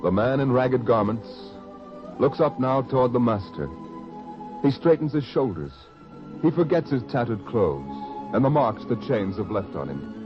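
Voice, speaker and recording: Male old-time radio announcer, solemn scripted narration, mid-pitched and expressive, muffled vintage sound. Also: normal speed. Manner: solemn, formal, dramatic. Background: prominent music.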